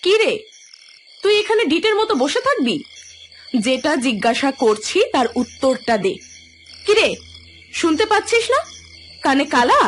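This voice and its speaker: A hot-tempered elder sister in a horror radio drama. Her voice is extremely high and forceful, with animated, demanding, colloquial delivery over background music. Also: moderate pace, pauses often. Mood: angry, scolding. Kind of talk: Bengali audio horror story acting.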